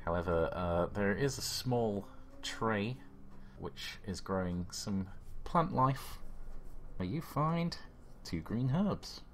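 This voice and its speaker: A man with a low voice runs a tabletop horror game as game master. He describes scenes conversationally, improvising as he goes, with faint noise in the background. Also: expressive, takes it slowly.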